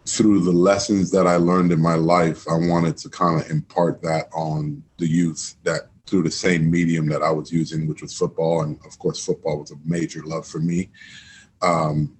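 Deep-voiced man rambling with many restarts. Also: slight background noise. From Miami, Florida. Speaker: guest, former football coach. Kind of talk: casual interview chat.

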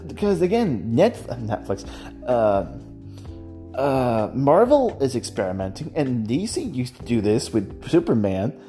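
A podcast host talking casually about opinions, halting and thinking out loud, often trailing off and self-correcting. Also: mid-range voice. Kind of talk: casual rambling opinion talk about games and audio drama. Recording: music playing behind the voice. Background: music.

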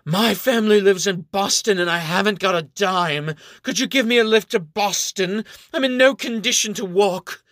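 A man's voice: sits high, fairly bright tone, no echo, clean studio sound, voice pushed up. A man voicing a plucky young princess who has become a sneaky rogue. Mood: fake drunk pleading. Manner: quick, lifted voice.

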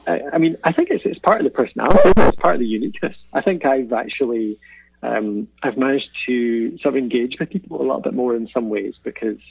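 A man's voice: medium pitch, lively intonation, quick pace, vocal fry, slightly rough, dry recording, lo-fi sound. A Scottish man chatting reflectively as an interview guest, laughing often and restarting his sentences. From Scotland. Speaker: podcasting guest. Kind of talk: casual interview chat.

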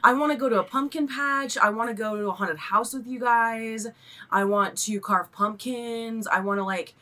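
A bubbly young woman co-hosting a casual podcast, her voice bright and clear. She's stuffy from allergies and gushes enthusiastically, filling her sentences with "like". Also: on the high side, moderate pace. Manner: bubbly, enthusiastic, says "like" a lot. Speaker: podcast co-host. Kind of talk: casual chat.

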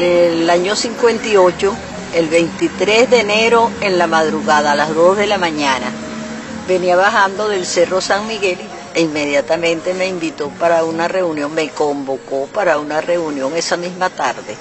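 Lower-voiced woman giving noisy recorded testimony. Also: moderate pace. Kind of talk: recalled personal testimony.

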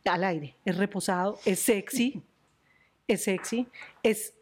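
A middle-aged Colombian woman journalist, animated and chatty, telling stories in a podcast interview. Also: medium pitch, slow. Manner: animated, laughs often, storytelling. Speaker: journalist and news presenter.

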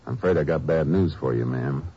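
An actor playing a steady, grim veteran lawman in a 1950s radio drama, calm and measured. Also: rumbling low, husky, exaggerated voice. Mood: firm. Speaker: Texas Ranger and narrator. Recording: noisy, muffled old radio broadcast.

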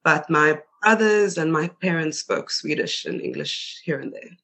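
A young woman with a South African accent talks in a relaxed interview. Her voice is bright and lively, and she laughs often and fills pauses with casual chatter. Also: low voice. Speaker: interview guest.

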